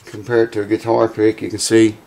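Raspy, hoarse man. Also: lowish voice, completely flat delivery, fairly quick, darkish tone, rough recording. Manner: rambling, folksy, swears casually. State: raspy, losing his voice. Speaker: guitar repair vlogger. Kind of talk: casual workshop chat to camera. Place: small cluttered home workshop with mounted animal heads, indoors.

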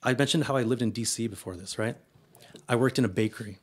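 A man in his late thirties from El Paso, a poet talking casually as a guest in an interview, energetic and peppering his speech with "right?". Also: medium pitch, fast, husky. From El Paso, Texas, US. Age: 30s. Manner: energetic, filler-heavy, keeps adding "right?".

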